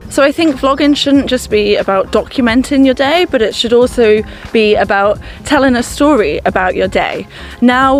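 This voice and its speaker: A young woman talking to camera in a casual vlog, chatty and self-mocking. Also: a little high. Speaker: vlogger and online English teacher.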